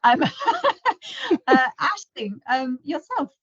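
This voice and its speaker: An upbeat woman hosts a panel talk with a fairly high voice. She sounds close and dry, with no room around her. The line is dull and lo-fi. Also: big pitch swings, drawn-out words, fairly bright tone, no room sound. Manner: upbeat, welcoming. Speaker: podcast host.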